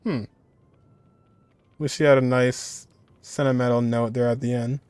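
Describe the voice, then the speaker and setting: A man's voice: mid-range voice, little pitch movement, measured pace, a little mellow, smooth, dry recording. Casual young man with a dry, close sound. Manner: informal, musing, thinking aloud. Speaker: reaction video host. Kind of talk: casual game reaction commentary.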